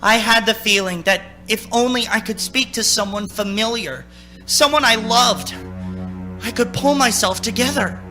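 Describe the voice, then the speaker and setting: A man plays a haunted young driver coming apart in a radio drama. The voice is bright and forceful, telling the tale with halting, desperate intensity. Music sounds faintly behind it. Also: high voice. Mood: weary, desperate. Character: frightened young man driver, unravelling, narrating his ordeal. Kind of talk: dramatic radio play acting.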